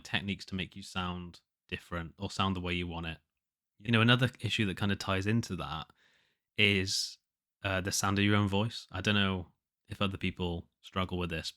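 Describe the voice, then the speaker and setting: A man with a low but nasal voice, chatting casually on his own as if keeping an audio diary, rambling and hesitant as he thinks aloud. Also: pushed and bright. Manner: hesitant, rambling, self-questioning. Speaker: podcast host, musician.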